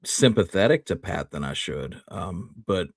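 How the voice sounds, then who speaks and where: A man co-hosting a casual history discussion, speaking in a reflective, measured way and qualifying his opinions. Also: low-pitched, animated, vocal fry, breathy edge, dry recording, studio quality. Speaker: co-host of a history talk show.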